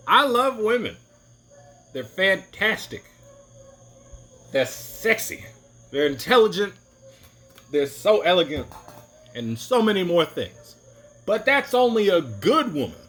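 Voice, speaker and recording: A man giving an opinionated, rambling monologue to camera, often restarting his sentences. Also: a bit bright. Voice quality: deep voice. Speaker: vlogger.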